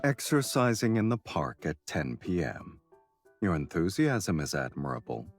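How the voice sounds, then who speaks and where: A man playing a composed, dryly teasing, quietly indulgent man in a game story. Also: low-pitched, sing-song, a little slow, full character voice. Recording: music behind.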